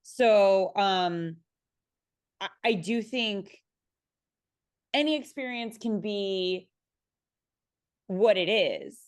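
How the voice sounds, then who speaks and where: A woman's voice: medium pitch, lively intonation, drawn-out words, pauses often. A woman speaking conversationally as a panelist on a video call, often correcting herself and checking in with "right?".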